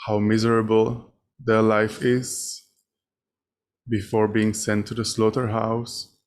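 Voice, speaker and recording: A man leading a guided meditation as a teacher, speaking in short, measured phrases with long pauses between them. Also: lowish voice, completely flat delivery. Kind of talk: guided meditation, spoken instruction.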